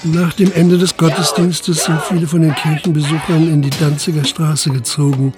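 An actor playing a sober, methodical police investigator in a radio drama, speaking formally and matter-of-factly. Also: male voice, on the high side, level tone, loud music behind the voice, close to an everyday voice. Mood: sober recounting. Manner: formal, measured, matter-of-fact. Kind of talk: radio drama interrogation and narration.

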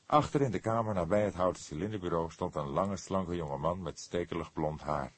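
A man's voice: low voice, a little fast, breathy edge. A man narrating a scripted detective story as an audiobook reader.

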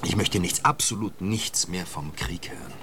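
A man's voice: deep voice, moderate pace, lightly acted. A man playing a reticent but opinionated war veteran in a radio play, speaking emphatically. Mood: pained refusal. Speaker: actor in a radio drama. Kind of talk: German radio play acting. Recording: clearly noisy background.

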